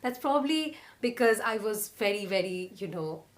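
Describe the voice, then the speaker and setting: A woman's voice: mid-range voice, animated, normal speed. A young woman with an Indian accent, telling her own story in a casual, chatty vlog and often using "like" and "you know". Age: young adult. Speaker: vlogger.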